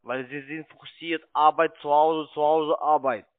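High-voiced salesman on a tinny phone. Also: steady tone. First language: not German. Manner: halting, insistent, broken grammar. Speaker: call centre sales agent. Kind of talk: pushy unscripted phone sales pitch. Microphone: telephone. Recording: tinny narrowband phone line.

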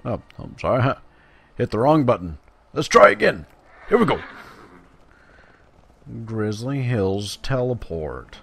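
A man with a medium-pitched voice talks his way through a video game. He is chatty and playful, slangy in his remarks and given to musing to himself, and he also reads quest text aloud. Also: sing-song, slow, gravelly, long pauses, a bit bright. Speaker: video game player and commentator. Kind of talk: casual gameplay commentary with quest text read aloud.

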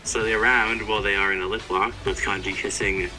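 A man's voice: fairly low pitch, level tone, brisk, pushed and bright, faint music behind, poor audio. A young adult man co-reading fan fiction in a joking dramatic style. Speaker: co-reader.

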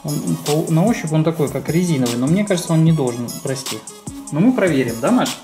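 A man's voice: fairly high pitch, lively intonation, fairly quick. A man co-hosting a children's toy unboxing, explaining simply and asking the child prompting questions. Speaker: co-host of a children's toy video. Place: small room at home. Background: music.

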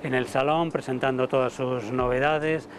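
A man in his fifties, a motor show director, speaking to camera in a halting way with many fillers and repeated words, recorded outdoors on a lapel mic. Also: mid-range voice, steady tone, a crowd in the background. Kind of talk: interview to camera. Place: outdoor terrace above a city port.

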